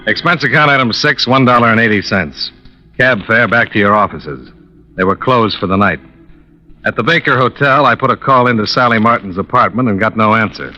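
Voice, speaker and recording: A hard-boiled American investigator from a vintage radio drama. His voice is forceful and fairly low, delivered in a clipped, wry, businesslike way. The recording is dull and old. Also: animated, fairly quick. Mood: dry, brisk. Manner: clipped, matter-of-fact. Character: hard-boiled male insurance investigator, brisk, pressing, wry. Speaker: narrator and lead detective. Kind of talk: 1950s radio detective drama acting and narration.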